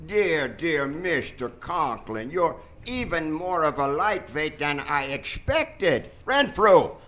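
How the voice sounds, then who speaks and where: A man playing a sinister, formal and imperious doctor with smooth manners in a live radio drama. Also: a little high, sing-song, slow, pushed and bright, slight background noise, heavily acted. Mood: controlled, sly. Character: sinister, smooth-mannered male doctor, commanding master of servant. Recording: live theater stage performance.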